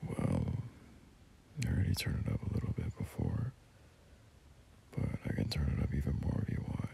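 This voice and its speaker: A caring young boyfriend acted by a man murmurs soothingly in an intimate ASMR roleplay. His voice is extremely low and full of fry, slipping into breathy whispers. The pitch wanders widely as he gently, hesitantly reassures. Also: big pitch swings, normal speed, slight background noise, exaggerated voice. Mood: tender, reassuring.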